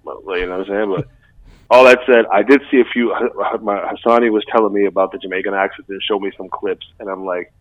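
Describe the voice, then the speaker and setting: A man's voice: on the low side, fairly even intonation, fast, no echo. A man co-hosting a casual podcast chat, laid-back and slangy, laughing often. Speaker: podcast co-host. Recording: dry, tinny phone-like sound.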